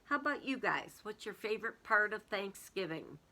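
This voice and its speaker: A woman's voice: medium pitch, lively intonation, normal speed, bright, forceful tone. A woman reading a storybook aloud to young children, expressively, with casual musing commentary.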